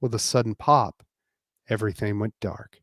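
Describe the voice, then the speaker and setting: A man's voice: fairly low pitch, fairly even intonation, moderate pace, no echo, clean studio sound. A man narrating a romance story as a scripted dramatic reading.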